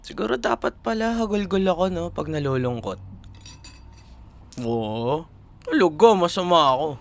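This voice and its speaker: A man with a Filipino accent playing an affectionate, teasing young college boyfriend in an intimate, softly hesitant ASMR roleplay. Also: on the high side, expressive, normal speed, creaky. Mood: playful teasing.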